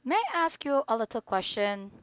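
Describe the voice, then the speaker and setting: A woman's voice: a little high, animated, moderate pace, dry recording, clean studio sound. A woman teaching English in an online lesson, asking polite questions patiently over a video call.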